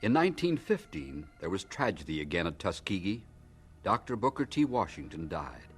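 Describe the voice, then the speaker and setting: A man narrating a radio drama as a solemn storyteller, measured and dramatic, addressing the hero directly. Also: low-pitched, sing-song, faint music behind.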